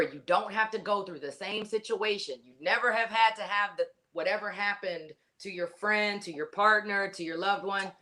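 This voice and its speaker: A woman's voice: mid-range voice, sharp tone. A woman therapist explaining in an earnest, casual way, with many "you know"s. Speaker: therapist guest.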